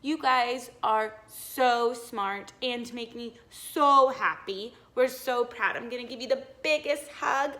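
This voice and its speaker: A woman with a fairly high, bright voice reads to young children as their teacher. She takes her time, speaking expressively and encouragingly, and puts questions to her listeners. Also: slow pace, hardly any pauses. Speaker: teacher reading to young pupils. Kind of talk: storybook read-aloud with questions to children.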